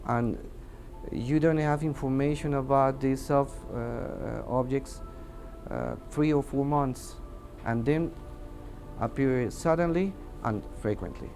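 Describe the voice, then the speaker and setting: A man with a Mexican accent, a native Spanish speaker, gives interview testimony as an air traffic controller, haltingly searching for his English words. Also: medium pitch, a little mellow. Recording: music under the voice. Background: music.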